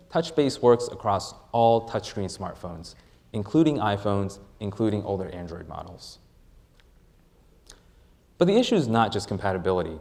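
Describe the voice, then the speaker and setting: A man presenting a rehearsed product pitch as a startup presenter, with deliberate, emphatic phrasing. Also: a little mellow.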